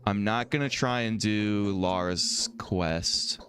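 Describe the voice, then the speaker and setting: A man streaming a video game, thinking out loud in casual, rambling talk, his voice a little hoarse from a throat infection. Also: fairly low pitch, takes it slowly. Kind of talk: casual let's-play commentary, reading dialogue aloud.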